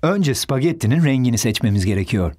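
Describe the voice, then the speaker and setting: A man's voice: medium pitch, fast, lightly acted. A man voicing a friendly, patient young father in a dubbed children's cartoon. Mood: cheerful. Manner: patient, explanatory, animated. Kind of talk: children's cartoon dub acting.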